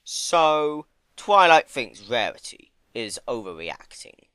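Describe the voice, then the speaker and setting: A man's voice: mid-range voice, big pitch swings, slow pace, fairly bright tone, clear, resonant tone, no echo, voice pushed up. A man voicing a sly, sardonic male chaos creature in a silky, sneering, theatrical manner for a story reading. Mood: too casual, sneering.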